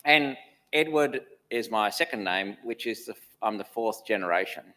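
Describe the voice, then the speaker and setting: A man in his fifties, mostly Australian in accent with traces of other places, answers questions in a church. His voice sits a little low, and he rambles good-naturedly with dry jokes. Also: animated, moderate pace, pushed and bright. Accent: mixed, Australian with traces of places lived. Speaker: church council chair. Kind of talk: interview answers.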